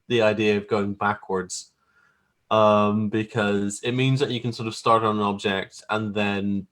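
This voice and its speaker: A man's voice: on the low side, fairly bright tone. A man talking casually as an interview guest about his creative work, hesitant and thinking aloud. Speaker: guest, graphic artist.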